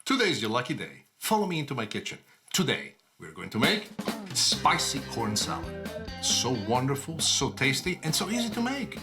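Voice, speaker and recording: A man hosting a cooking show from his kitchen as a TV chef, speaking with passion and expression and often pausing on "uh". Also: medium pitch, music in the background. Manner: passionate, expressive delivery with frequent "uh" fillers. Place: home kitchen.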